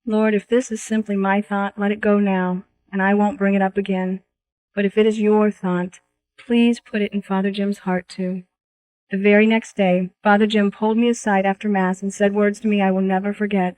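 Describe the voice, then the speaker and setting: A woman's voice: mid-range voice, darkish tone, low-quality recording. A woman reading a scripted, first-person audiobook introduction as its author.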